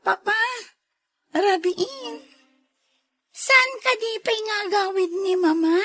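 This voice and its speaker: Tiny, piping Ilocano child. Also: female voice, very high voice, slow, a bit bright, exaggerated voice. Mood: sweet, obedient. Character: sweet, obedient little child. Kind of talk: Ilocano radio drama acting.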